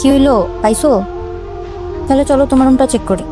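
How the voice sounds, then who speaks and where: An actress playing a pointed, challenging young sister-in-law in a television family drama. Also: female voice, high voice, steady tone, fast talker, natural voice. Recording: music over dialogue.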